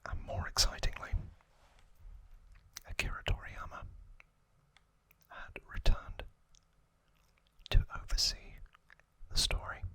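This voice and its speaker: A young adult man hosting an ASMR series, whispering in a hushed, deliberate way in short separated phrases, close to the microphone. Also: low-quality recording. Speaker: host of an ASMR review series.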